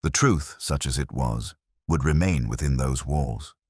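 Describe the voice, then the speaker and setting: A man playing a weary, melancholy police inspector, narrating his case in a measured, literary, brooding way as a first-person detective story. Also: extremely low pitch, breathy edge, dry recording, clean studio sound, exaggerated voice. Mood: sombre, reflective.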